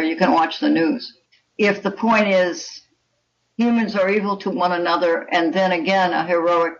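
Older woman, opinionated author in interview, gravelly fry, muffled and off-mic. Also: lowish voice. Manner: opinionated, emphatic. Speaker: author guest. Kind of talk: conversational interview. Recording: muffled, distant microphone.

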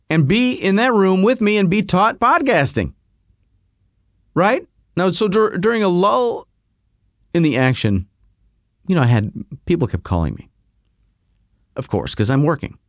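A man with a fairly high voice tells a rambling comic story as podcast host, his pitch swooping up and down as he goes. He breaks into self-mocking asides. The sound is close and dry. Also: no echo.

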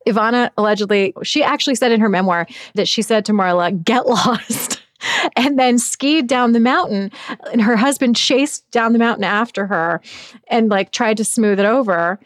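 A woman, a magazine editor, talking in an animated, chatty way as a guest in a news interview. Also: on the high side, moderate pace, no echo, studio quality. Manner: animated, chatty, frequent "like" and "I mean".

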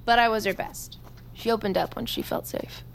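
An actress playing a cocky, foul-mouthed young army recruit in a naturalistic audio drama, with a flippant, teasing manner. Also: female voice, a little high, moderate pace, creaky, slight background noise. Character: brash, cocky young female army recruit, manipulative, wounded.

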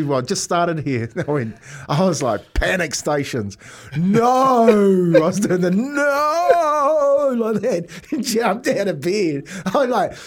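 Middle aged kiwi guy on radio, highish voice, chatty jokey storyteller, chuckles as he goes. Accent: New Zealand. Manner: animated, jokey storyteller who often chuckles while talking. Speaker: radio show host. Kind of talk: casual radio banter, storytelling.

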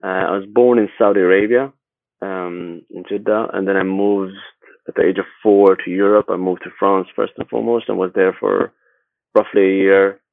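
A man from Sweden talking casually as an interview guest, haltingly, often repeating words and rephrasing as he goes. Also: low voice, flat delivery, creaky, no room sound, phone-quality sound. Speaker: interview guest, agency founder.

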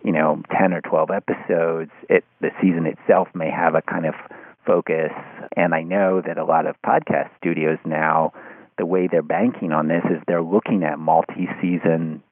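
Low, creaky man on a phone-like line. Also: steady tone, dry recording. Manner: hesitant, halting, with repeated false starts. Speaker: podcast guest, media critic. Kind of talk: thoughtful interview conversation. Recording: phone-like, thin band-limited line.

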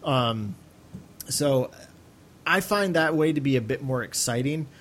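A man's voice: medium pitch, expressive, unhurried, a bit bright, slight background noise. A man co-hosting a podcast in casual, rambling, hesitant chat. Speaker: podcast co-host.

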